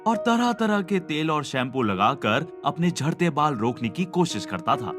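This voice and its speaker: A man's voice: a little high, sing-song, unhurried. A man reading scripted narration for a cartoon story. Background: background music.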